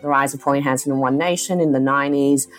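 Low-voiced woman academic. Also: fairly even intonation, fast. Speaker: academic philosopher, guest. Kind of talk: interview answers.

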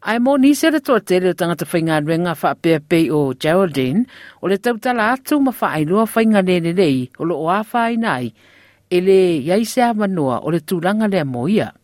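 A Samoan-accented news reporter narrates a scripted report. The voice sits at a medium pitch, with a close, dry studio sound. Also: very animated, brisk, a little mellow, no room sound.

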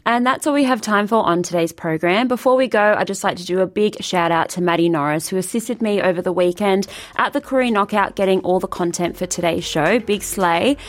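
A woman's voice: mid-range voice, a little fast, hardly any pauses. A woman radio host giving a scripted, friendly sign-off.

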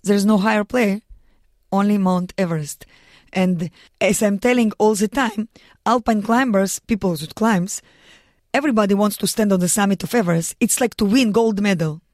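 Russian-accented woman guest, earnest, halting English, mid-pitched, dry studio sound. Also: no room sound. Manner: earnest, halting non-native English with restarts. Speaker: guest, mountaineer and lawyer. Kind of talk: radio studio interview. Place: radio studio.

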